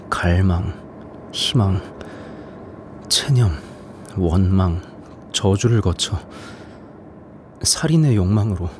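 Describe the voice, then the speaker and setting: A man's voice: low voice, background noise. An actor playing a brooding nineteen-year-old man who is losing his sight and resents his father. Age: about 19, turning 20. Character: young man going blind, bitter toward his father. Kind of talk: introspective drama narration and dialogue.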